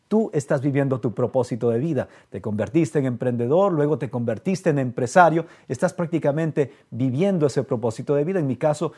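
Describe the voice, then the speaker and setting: A man's voice: mid-range voice, brisk, few pauses, warm tone, breathy edge. A man hosting a live motivational podcast, speaking earnestly and directly to the viewers. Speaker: podcast host.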